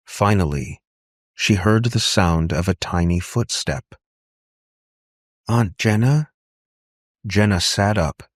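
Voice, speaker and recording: A man's voice: low-pitched, no room sound, studio quality. A man reading scripted fiction as an audiobook narrator.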